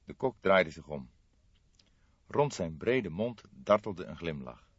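A man reading a crime novel aloud as an audiobook narrator, in expressive scripted narration. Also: deep voice, takes it slowly, breathy edge, no echo. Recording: dull lo-fi dry studio sound.

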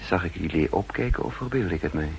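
An actor playing a wary spy narrating his private thoughts deliberately, in 1960s radio drama style. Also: deep voice, expressive, creaky, a little mellow. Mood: wary, reflective. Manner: deliberate, introspective. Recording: noisy vintage 1960s radio recording.

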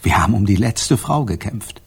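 An actor playing a brooding, jealous man with a husky, breathy voice, formally recalling a night in a radio crime drama. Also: lowish voice, expressive, moderate pace, heavily acted. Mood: guilty, brooding.

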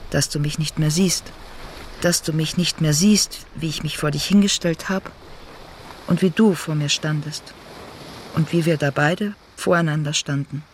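An actor playing a grown child who confronts their mother in a literary radio monologue, with deliberate, repetitive phrasing. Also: mid-range voice, fairly even intonation, slight background noise, lightly acted. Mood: probing, haunted.

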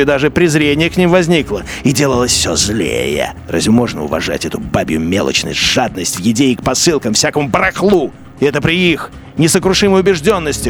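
A man reads a radio drama in a measured, bitter inner-monologue voice. His pitch sits in the middle range, and faint music plays under his words. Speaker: narrator.

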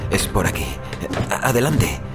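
A man playing a gentle, agitated and devoted young student in a dramatized audiobook, speaking in an earnest, breathy, halting way. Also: on the low side, big pitch swings. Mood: shy welcome. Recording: music behind the voice.

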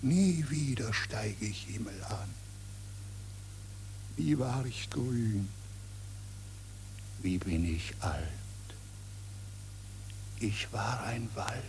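A man playing a dead old forest in a radio drama, mournful and accusing, declaiming solemn verse that often sinks into whispers. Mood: weary grieving. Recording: noisy track with low hum.

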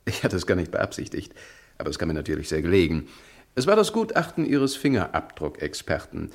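An actor playing a smug male criminal in a 1960 radio crime drama, condescending, amused and deliberate. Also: sits low, huge pitch swings, full character voice. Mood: amused, superior. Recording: vintage 1960 radio recording.

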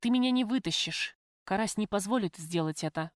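A woman's voice: animated, fairly quick, dry recording, clean studio sound, natural voice. A woman voicing a desperate, bitter young woman in prison, emotional and pleading, in an audiobook. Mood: despairing. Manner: emotional, pleading, high-strung.

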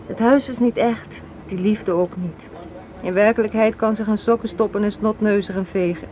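An actress playing a sharp-tongued, unsentimental young woman in a radio play, sardonic and matter-of-fact as she argues. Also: medium pitch, fairly even intonation, moderate pace, natural voice. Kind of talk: Dutch radio drama acting. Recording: muffled, noisy old radio play recording.

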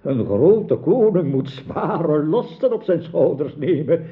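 An actor playing a weary, wise old wizard mentor in a radio drama, speaking with measured, solemn counsel. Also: male voice, a little high, animated, husky, heavily acted. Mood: solemn, advising. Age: older. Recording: thin, phone-like old radio play recording.

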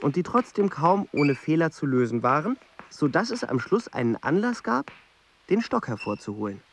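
Measured male radio play narrator. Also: a little high, big pitch swings, a little hiss or hum. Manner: measured, storytelling.

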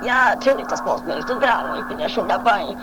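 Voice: medium pitch, lively intonation, close to an everyday voice. Someone acting out a nervous climber in a homemade radio play, muttering and blaming themselves, lots of noise behind. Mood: strained, urgent. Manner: muttering, halting, repeating words. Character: anxious, self-reproaching mountaineer rescuing a fallen companion. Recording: noisy homemade 1980s radio play recording.

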